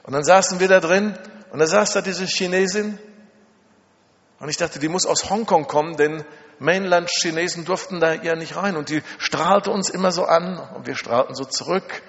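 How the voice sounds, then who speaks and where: A man's voice: fairly high pitch, moderate pace, fairly bright tone. A middle-aged man from Germany preaching a sermon, telling personal stories emphatically with dramatic repetition and rhetorical questions. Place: large reverberant hall. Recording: muffled, long hall reverb.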